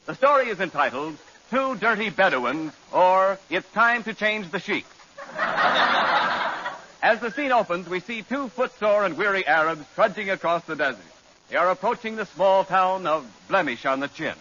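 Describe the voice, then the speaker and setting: A man's voice: high voice, animated, normal speed, sharp tone. A man announcing in mock-dramatic 1940s radio style. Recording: dull 1940s radio broadcast.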